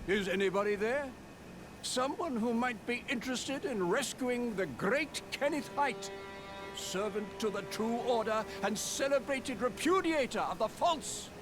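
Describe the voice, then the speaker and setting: A man's voice: very high voice, lively intonation, measured pace. An actor playing a pompous, self-important nobleman in a fantasy game, haughty and theatrical in his delivery. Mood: pleading, grandiose. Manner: haughty, theatrical, grandiose declamation. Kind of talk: scripted fantasy game acting. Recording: background music under the voice.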